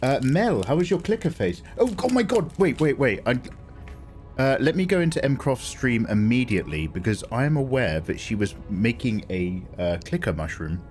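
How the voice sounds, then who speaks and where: A man with an English accent, chatting excitably on his live stream and swearing freely. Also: mid-range voice, moderate pace, faint music behind. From England. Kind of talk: casual live-stream chat.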